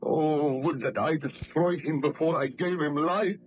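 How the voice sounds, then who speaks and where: An anguished baron and scientist, acted by a man, desperate with guilt. His delivery is melodramatic and formal in the old radio manner, in a slightly high male voice. The recording is vintage, with some noise. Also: unhurried, natural voice, rough recording. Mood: grim resolve. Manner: theatrical, impassioned, formal diction. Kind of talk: old-time radio drama acting.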